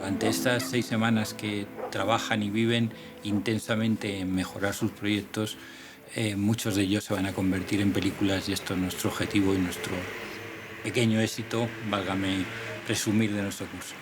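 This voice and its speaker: Flat low-voiced official over noise. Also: male voice, normal speed. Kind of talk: interview. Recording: noisy, distant recording.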